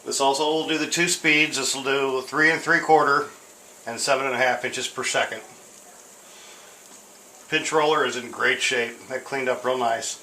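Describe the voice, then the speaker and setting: A man with a bright, forward voice explains equipment casually and a bit hesitantly. He sounds informal and unscripted, recorded in an echoey room with faint background noise. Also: mid-range voice, steady tone, normal speed. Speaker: tape deck restorer demonstrating a machine. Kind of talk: casual unscripted product demonstration. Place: indoor room at home. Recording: roomy, echoing sound with hiss.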